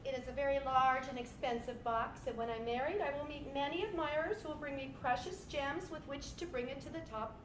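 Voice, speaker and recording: A woman's voice: sits high, fairly quick, few pauses, echoey room, far from the mic, background noise, close to an everyday voice. A woman playing a spoiled, materialistic princess who reasons matter-of-factly in a staged reading. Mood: smug, reasoning. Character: materialistic spoiled princess, calculating and self-satisfied.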